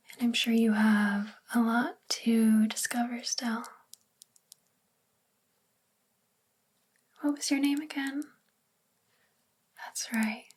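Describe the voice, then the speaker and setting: A sweet, friendly witch librarian from a magical town, played by a woman in an ASMR roleplay. Her voice is soft and breathy, close to a whisper, fairly high and very even. She takes her time, speaking gently and slowly. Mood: gentle, welcoming. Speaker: ASMR performer.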